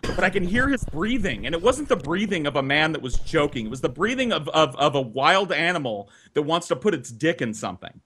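A man in his thirties telling a personal story for laughs on a podcast, animated and dramatic, with swearing. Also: a little high, fairly quick, slight background noise. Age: 30s.

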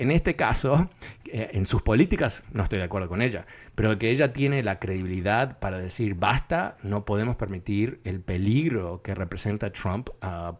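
A man with a fairly low voice hosts a radio talk show and gives earnest commentary. He thinks aloud and fills his pauses with hesitations. The broadcast sounds muffled and lo-fi. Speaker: radio host.

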